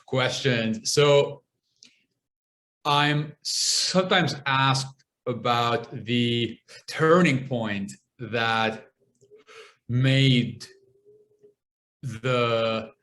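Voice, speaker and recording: A young man from Lebanon who stutters, speaking as a guest in a podcast interview, with long pauses and repeated sounds. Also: medium pitch, a bit bright.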